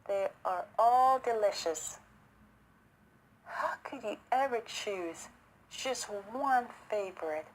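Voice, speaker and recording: A woman's voice: medium pitch, slow, a little hiss or hum, lightly acted, rough recording. A woman voicing a hospitable, easily amazed male country chipmunk in a children's storybook, with an eager, exclaiming manner.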